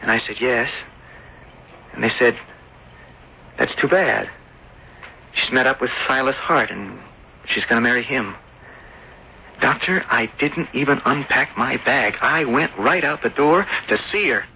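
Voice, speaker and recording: An actor playing an earnest, lovesick young seafarer back home, speaking haltingly in radio drama style. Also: male voice, medium pitch, gravelly, sharp tone, full character voice. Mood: earnest, reflective. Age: young adult. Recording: dull, noisy old radio broadcast.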